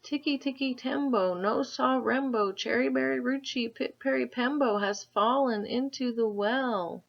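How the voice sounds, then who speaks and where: High little boy voice a lady puts on, panting and pleading, louder than her usual, kinda dull lo-fi sound. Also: steady tone, normal speed, vocal fry, few pauses, lightly acted. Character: small boy, breathless and urgent.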